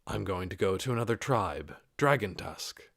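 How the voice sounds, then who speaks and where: A man plays a male lizard warrior in a fantasy audiobook. He sounds calm, sincere and steady, with a fairly low voice recorded dry and close. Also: normal speed, gravelly, no echo, heavily acted. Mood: matter-of-fact. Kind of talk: audiobook character acting.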